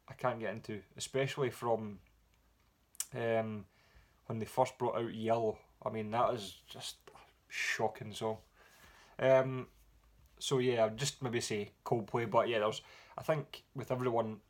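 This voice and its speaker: A man with a Scottish accent answering viewer questions in a relaxed, rambling way with frequent pauses and fillers. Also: mid-range voice. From Scotland. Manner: relaxed, rambling with many ums. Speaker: music vlogger. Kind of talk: casual Q&A vlog.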